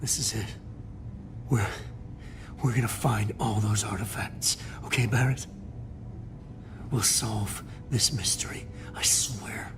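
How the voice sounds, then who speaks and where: An actor playing a gentle, resolute space explorer in a video game, grief-stricken, speaking in a hushed, halting way. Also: male voice, fairly low pitch, whispered.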